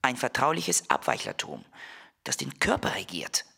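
A performer playing a hushed, confidential figure urging trust in a literary radio play. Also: medium pitch, wildly swooping pitch, moderate pace, creaky, breathy edge, exaggerated voice. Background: music.

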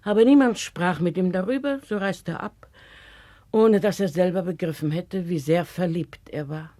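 Elderly female housekeeper played by an actress, bitter and watchful, measured storyteller with pointed pauses, close dry 1960s radio drama sound. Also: on the low side, warm tone, no room sound, lightly acted. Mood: wistful. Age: older. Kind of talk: 1960s German radio play acting.

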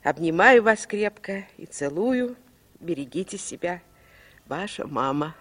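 An actress playing a loving, lonely old mother who speaks tenderly and haltingly in a 1960s Soviet radio drama. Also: fairly low pitch, lively intonation, fairly bright tone. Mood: tender, shy. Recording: long reverb, as from a record.

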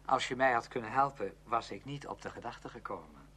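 An actor playing a headstrong, outspoken young music student in a Dutch radio play, speaking emphatically and with defiance. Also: male voice, medium pitch, expressive, fairly quick, bright, forceful tone, husky. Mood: resolved. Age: young adult. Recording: noisy old radio drama recording.

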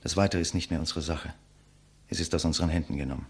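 A doomed idealist in an old radio drama, deep husky voice, solemn and rhetorical. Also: male voice, fast, heavily acted. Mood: resigned. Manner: solemn, rhetorical, impassioned declamation. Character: condemned man, idealistic intellectual, weary and resigned facing his executioner. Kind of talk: German radio play acting, 1950s.

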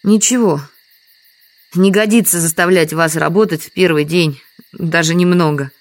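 A woman's voice: on the low side, steady tone, normal speed, dry recording, lightly acted. An actress playing a humble, trusting village woman in an audio drama, explaining things simply. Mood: gently declining.

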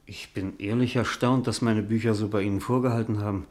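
A man's voice: on the low side, steady tone, a little fast, darkish tone, natural voice. An actor in a German radio play, playing a world-weary, proud, old-fashioned male novelist who speaks in a cultured, ironic, hesitant way. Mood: nostalgic, reflective.

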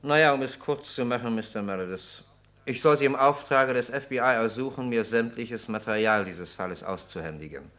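A self-assured federal agent played by a male actor in an old radio crime play. He has a mid-pitched voice and a clipped, formal delivery. He sounds officious and condescending. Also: fairly quick, fairly bright tone, close to an everyday voice. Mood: brisk, official. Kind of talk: vintage German radio crime drama acting.

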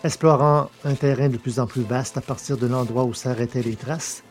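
A man reads science fiction narration in a steady, measured way. His voice is mid-pitched and plain. Faint music plays underneath him.

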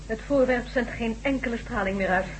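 A woman's voice: fairly high pitch, a little fast, noisy background, natural voice. A woman playing a precise, alert scientist in a radio drama, clipped and technical yet dramatic, on an old recording. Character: precise, alert female scientist doctor reporting alarming readings.

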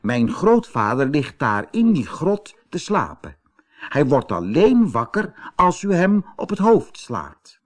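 A man's voice: fairly high pitch, very animated, takes it slowly, pitched above the performer's own voice, heavily acted. A man voicing a plucky, cunning young orphan boy in a fairy-tale audiobook, speaking with polite, cheeky bluff. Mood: sly, polite.

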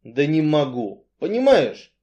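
Guy doing a weary tough strongman, blunt halting talk, emphatic, voice jumps up and down. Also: fairly high pitch, sing-song, mellow, highs cut off. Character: blunt brooding strongman, weary, hardened against pity.

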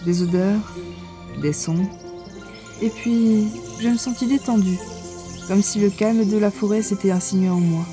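Woman playing a wry newbie ranger girl narrating her fantasy adventure, really mellow voice, bit of music under it. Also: medium pitch, measured pace, natural voice. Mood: awed, soft. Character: young female ranger, novice gamer, wry and curious narrator. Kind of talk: first-person audio drama narration.

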